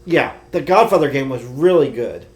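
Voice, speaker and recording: A man's voice: mid-range voice, animated, moderate pace, a little mellow. A man chatting casually and informally as a podcast co-host. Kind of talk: casual chat.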